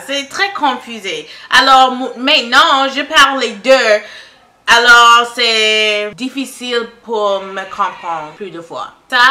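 A young Canadian woman and native English speaker, chatting casually through a makeup tutorial in halting French, searching for words and laughing at herself. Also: a little high, pushed and bright. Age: young adult. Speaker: beauty vlogger.

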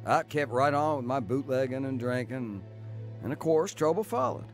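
Man acting tough ex-crook, animated, slightly high, wry, plainspoken, radio drama, some music. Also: normal speed, natural voice. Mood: wry, matter-of-fact. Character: tough male ex-criminal recounting his bootlegging youth. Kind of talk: dramatized first-person narration and dialogue.

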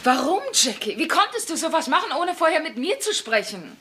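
A woman's voice: high voice, very animated, brisk, sharp tone, slight background noise, heavily acted. An actress playing a blunt, sardonic working-class mother with a dry, wisecracking manner in a dubbed German sitcom. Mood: exasperated.

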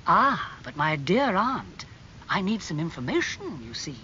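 A narrator playing a suave, amused young aristocratic gentleman in a dramatised audiobook. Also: medium pitch, big pitch swings, unhurried, highs cut off, a little hiss or hum. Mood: breezy.

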